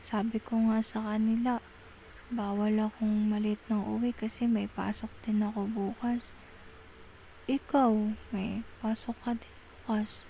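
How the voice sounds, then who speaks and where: A woman with a Filipino accent playing an affectionate, apologetic girlfriend in an ASMR roleplay, talking softly and coaxingly, often half-whispering. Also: mid-range voice, natural voice, poor audio. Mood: defensive, pleading. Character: affectionate, apologetic girlfriend soothing a jealous partner. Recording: faint background noise.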